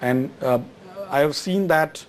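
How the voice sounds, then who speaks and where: A man's voice: fairly high pitch, slow, slight background noise. A middle-aged man from Gujarat, answering interview questions with many fillers and restarts. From Gujarat, India. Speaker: guest, exchange chief executive.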